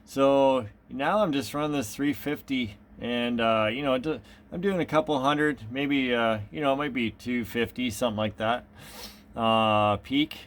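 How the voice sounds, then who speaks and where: A man with a mid-pitched voice chats like a hobby radio operator. He is easygoing and agreeable, rambling along in loose, casual phrases. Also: a little slow.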